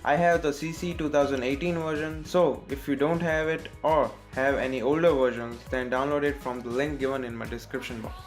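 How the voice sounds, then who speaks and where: A man with an Indian accent narrating a step-by-step software tutorial as its host, in a scripted style. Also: mid-range voice, steady tone, a little fast, few pauses, faint music behind.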